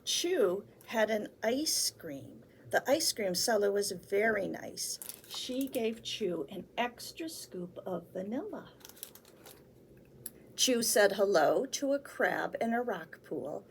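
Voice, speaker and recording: A woman reads and chats as a children's storytime host, with simple, deliberate phrasing. Her pace is slow and her voice has a little creak in it. There is faint noise behind her. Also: medium pitch, animated. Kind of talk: picture-book read-aloud for small children.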